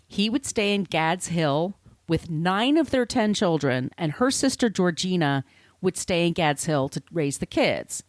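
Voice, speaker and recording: Woman podcaster telling stories, slightly low voice. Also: expressive, measured pace, no echo. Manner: informal, explanatory, with asides. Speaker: podcast host. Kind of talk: conversational storytelling of literary history.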